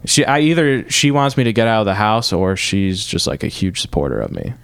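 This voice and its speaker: A man's voice: lowish voice, sing-song, brisk, gravelly, a bit bright, no echo. A young man from Michigan, a guest musician, telling stories in a casual interview and rambling through restarts and fillers. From Michigan, north of Detroit.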